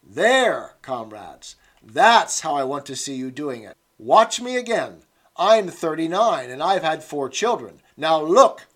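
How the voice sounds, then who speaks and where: A man's voice: big pitch swings, takes it slowly, few pauses, bright, forceful tone, heavily acted. A man voicing a shrewish, bossy woman fitness instructress in her late thirties who barks commands. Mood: bossy, exhorting. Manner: shrill, barking commands.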